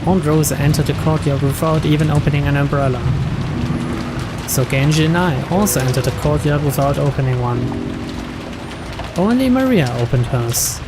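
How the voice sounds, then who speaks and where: Man narrating over loud music and rain. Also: a little high, moderate pace. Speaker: narrator reading a visual novel's translated text aloud. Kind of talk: dramatic scripted narration.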